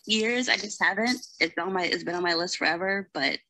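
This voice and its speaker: A woman's voice: mid-range voice, brisk, pushed and bright, slight background noise, rough recording. A woman speaking informally in a casual podcast conversation. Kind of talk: casual chat.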